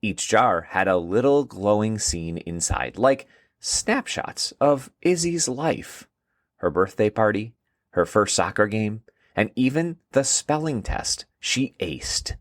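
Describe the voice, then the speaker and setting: A man's voice: fairly low pitch, sing-song, takes it slowly, no room sound. A man narrating a scripted children's story in storybook style.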